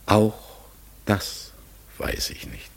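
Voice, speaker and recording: An actor in a German radio play voicing a mysterious, pensive talking raven, husky and gravelly, speaking formally and haltingly with many self-corrections. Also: male voice. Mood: searching, haunted.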